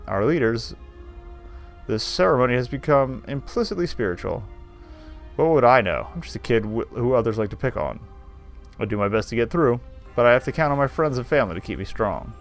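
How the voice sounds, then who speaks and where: A man's voice: mid-range voice, lively intonation, a little fast. A male game streamer reading visual novel narration aloud, with wry, sardonic asides. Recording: faint music behind voice.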